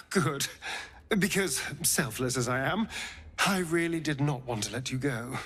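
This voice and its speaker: An actor playing a suave vampire rogue in a video game, with an arch, drawling, theatrical manner that is teasing and tender. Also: male voice, a little high, expressive, unhurried, bright, forceful tone, slightly rough, heavily acted. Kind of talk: dramatic video game dialogue.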